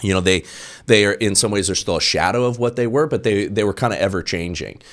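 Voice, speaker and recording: A man's voice: on the low side, fast talker, fairly bright tone. A man talking politics in a casual conversation, stressing his points and checking in with "okay?" and "right?". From United States. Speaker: guest.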